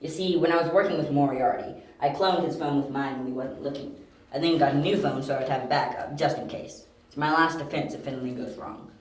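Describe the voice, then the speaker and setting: A man's voice: on the high side, a little fast, natural voice. A man playing a young, confident detective in an amateur audio drama, explaining things precisely. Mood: methodical. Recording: roomy amateur home recording.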